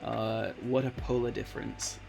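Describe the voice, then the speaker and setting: A man's voice: on the low side, flat delivery, moderate pace, vocal fry, over music, poor audio. A man in his late twenties chatting casually on a live stream, rambling with lots of fillers and easily delighted. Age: late 20s. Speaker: art streamer.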